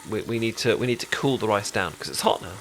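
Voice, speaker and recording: A British man playing a caring, chatty best friend in an intimate ASMR roleplay, talking casually with halting, stammering explanations. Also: on the low side, creaky, a bit bright, slight background noise. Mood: calm, instructive. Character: caring, chatty male best friend teaching sushi making.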